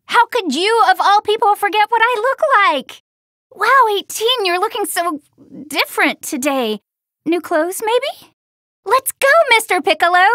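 A brash young martial-arts girl in an anime fighting game. The voice is very high and girlish, swinging widely in pitch with a forceful, bright edge. The delivery is cocky, spirited and full of exclamations. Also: no room sound, clean studio sound, heavily acted. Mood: exasperated, eager, then surprised, curious. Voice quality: girlish, youthful. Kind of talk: English-dubbed anime video game battle lines.

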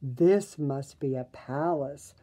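A woman's voice: extremely low pitch, takes it slowly, mellow, full character voice. An older woman voicing a small toy bear from a storybook, earnest and full of wistful wonder.

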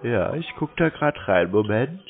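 A man's voice: mid-range voice, very animated, unhurried. A man in a casual phone conversation, speaking politely, evasively and with a naive air. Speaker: scam-baiter taking a call. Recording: tinny narrowband phone call audio.

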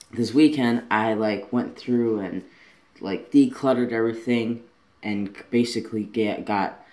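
A young man vlogging casually from his bedroom, talking in a rambling, easygoing way with plenty of fillers, recorded on a handheld camera at arm's length. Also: mid-range voice. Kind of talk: casual vlog talk to camera. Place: bedroom at home, indoors.

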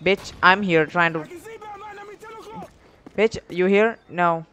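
A man's voice: sits high, fairly even intonation, slow, bright, forceful tone, a little hiss or hum. A young man casually telling a story while commentating on a game, rambling in slang with heavy swearing. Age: young adult. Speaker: gaming video creator telling stories over gameplay.

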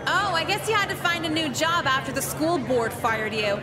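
An actress playing a jaded, deadpan, sarcastic teenage schoolgirl in a visual novel game. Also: high voice, moderate pace, music in the background, a crowd in the background. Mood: sardonic. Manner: deadpan, sardonic.

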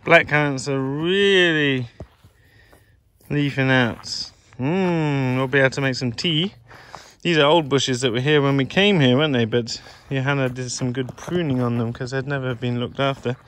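A man giving a relaxed, chatty tour of his farm, filmed on a handheld phone outdoors and in sheds. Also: mid-range voice, normal speed, a little hiss or hum. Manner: relaxed, gentle, chatty with a child. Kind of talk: casual walking farm tour vlog. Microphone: handheld phone camera.

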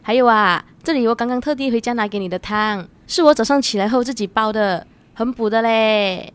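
A woman's voice: on the high side, fairly quick, smooth, natural voice. A woman playing a chatty, devoted young girlfriend who is eager to please, in a radio drama. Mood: eager, coaxing.

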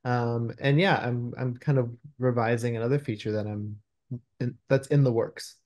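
A man's voice: medium pitch, fairly even intonation, normal speed, darkish tone. A man in his thirties talking casually as a screenwriter guest in an interview on a video call. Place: room at home, indoors.

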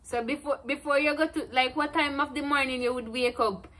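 A woman's voice: high voice, fairly even intonation, brisk, a bit bright. A woman in her twenties with a Jamaican accent, asking questions in a casual interview. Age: 20s. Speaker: interviewer, granddaughter. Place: indoors, plain room.

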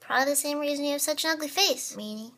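Woman with a very high, childlike voice. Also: a bit bright, slight background noise. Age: 20s. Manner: playful, bubbly, quick jokes, swings into squeals. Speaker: comedy video creator. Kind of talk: short comedy sketches. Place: at home, in cars and outdoors. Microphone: phone camera at arm's length.

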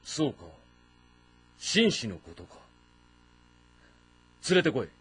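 A man playing a proud, brooding middle-aged warlord in a historical game drama, speaking with deliberate authority. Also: mid-range voice, huge pitch swings, a bit bright, breathy edge, full character voice. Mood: commanding. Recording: voice over background music.

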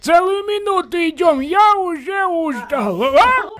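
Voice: very high-pitched, expressive, slow, a crowd in the background, full character voice. A performer voicing a cocky, whiny talking cat in a colloquial, complaining comic pet dub.

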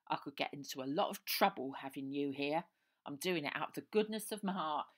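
A surly, heavyset pub landlord from a storybook, as a woman reads him barks in a lowered, gruff voice. He is dismissive and curt. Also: a little fast. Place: small room indoors.

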